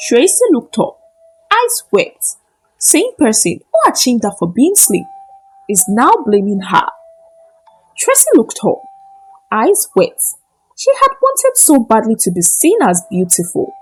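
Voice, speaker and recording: Swooping, high-pitched woman storyteller with husky edge. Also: huge pitch swings, unhurried, faint music behind, poor audio. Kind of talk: scripted narration of a moral folktale.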